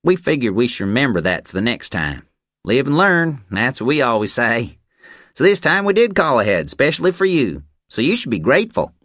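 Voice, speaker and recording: A man voicing a cocky, excitable young Texas ranch hand in an audiobook, taunting in folksy slang. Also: medium pitch, moderate pace, no echo, clean studio sound, pitched above the performer's own voice. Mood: gloating.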